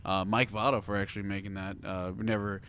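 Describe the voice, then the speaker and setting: A man's voice: lowish voice, level tone, quick pace, a bit bright, slight background noise. A man hosting a podcast in a casual, conversational chat style. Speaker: podcast host.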